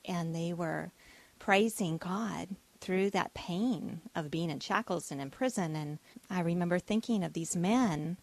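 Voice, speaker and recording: Woman, Texan accent, earnest heartfelt storytelling, fairly low voice. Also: measured pace, vocal fry, darkish tone. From Texas. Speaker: guest. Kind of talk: personal testimony.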